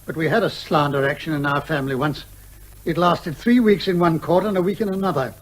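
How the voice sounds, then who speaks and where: Actor as a blustering British major, high-pitched. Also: male voice, a little fast, close to an everyday voice, low-quality recording. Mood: exasperated, resigned. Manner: blustering, indignant, old-fashioned turns of phrase. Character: exasperated, blustering older major who despises lawyers. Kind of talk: radio comedy drama acting.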